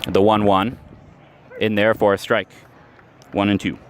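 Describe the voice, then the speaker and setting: A man doing radio play-by-play of a baseball game, giving a measured call with stats between pitches, recorded live at an outdoor ballpark. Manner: steady, measured call with stats between pitches, rising sharply on big plays. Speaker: play-by-play announcer. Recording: live ballpark recording with clear crowd noise.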